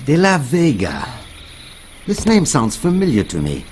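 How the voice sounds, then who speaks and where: An actor playing a suspicious, haughty military captain in a game, speaking with formal, probing disdain. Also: male voice, on the high side, wildly swooping pitch, unhurried, a little mellow, slight background noise. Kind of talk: video game cutscene acting.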